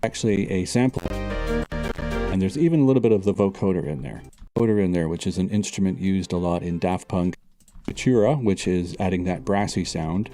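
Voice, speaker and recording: Deep, mellow-voiced male composer, calm and explanatory, soft music behind. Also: normal speed, long pauses. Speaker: composer guest. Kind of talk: interview answers, replayed in snippets. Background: music playing.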